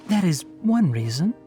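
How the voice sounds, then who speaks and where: An actor playing a young, sensible forest ranger in a video game, articulate and instructive with a gently stern manner. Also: male voice, sits high, heavily acted. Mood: reasoning. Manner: articulate, instructive, matter-of-fact.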